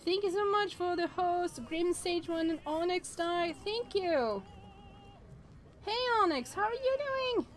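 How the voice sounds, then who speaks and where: A woman's voice: extremely high pitch, expressive, unhurried, soft background music. A woman in her thirties streaming a visual novel, chatting and thinking aloud with hesitant restarts. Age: 30s.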